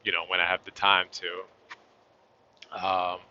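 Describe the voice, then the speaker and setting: A man with a bright, forceful and fairly low voice talks his way through his shift from the cab of a moving truck, loose and chatty. He sounds slightly hoarse. The sound is dull and lo-fi, as if caught on a phone set up in the cab. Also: flat delivery, slow pace. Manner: laid-back, chatty, southern-style y'all. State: losing his voice a little. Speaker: truck driver vlogger. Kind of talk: casual vlog talk to camera while driving. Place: inside a truck cab on the road. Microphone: phone or camera on a tripod.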